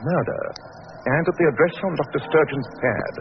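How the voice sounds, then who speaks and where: An actor playing a cool, precise English gentleman detective with a crisp, authoritative manner in a 1940s radio drama. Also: a little high, moderate pace, natural voice. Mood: probing, cool. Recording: dull, noisy old radio recording.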